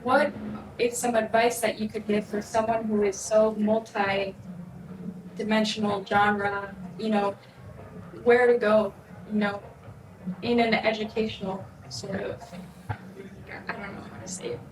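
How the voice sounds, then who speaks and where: A woman's voice: mid-range voice, flat delivery, a little slow, pauses often. A woman in the audience asking a question, hesitant and searching for words with many "um"s. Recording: distant roomy mic with background noise.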